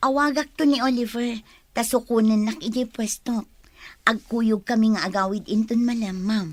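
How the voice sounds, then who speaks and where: A woman's voice: on the high side, fairly even intonation, normal speed, no room sound, lightly acted. An actress playing a sly young woman who schemes behind a polite front, with a Filipino accent, in a radio drama. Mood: polite, innocent. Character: sly young schemer, polite to madam, brazen among friends.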